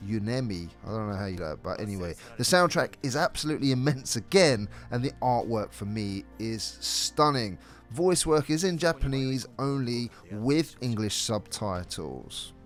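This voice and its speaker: A man's voice: medium pitch, expressive, moderate pace. A man reading a scripted video game review as a co-host. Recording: music behind the voice. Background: background music.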